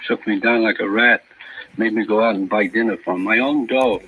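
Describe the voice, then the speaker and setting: An actor playing a nervy small-time thief turned informant, aggrieved and self-justifying, in slangy, clipped, insistent speech, in the style of 1950s radio crime drama. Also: male voice, fairly low pitch, a little fast, pushed and bright, breathy edge. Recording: dull, noisy old radio recording.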